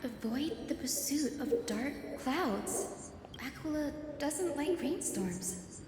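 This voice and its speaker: A woman's voice: sits high, big pitch swings, slow, noisy background, exaggerated voice. A woman voicing a thoughtful, curious young investigator in game dialogue. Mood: pondering. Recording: roomy reverb, faint music.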